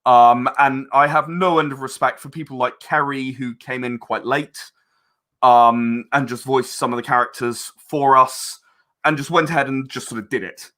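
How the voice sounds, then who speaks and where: A man's voice: mid-range voice, steady tone, normal speed, sharp tone. A man being interviewed as an audio drama writer and director, talking conversationally in a reflective, self-deprecating and hesitant way.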